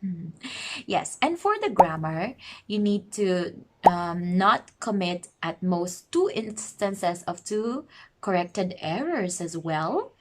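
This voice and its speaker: A woman's voice: fairly low pitch, sing-song, slow, a little hiss or hum. A woman from the Philippines in her thirties, an online English teacher, explaining casually in a chatty way, laughing often and correcting herself.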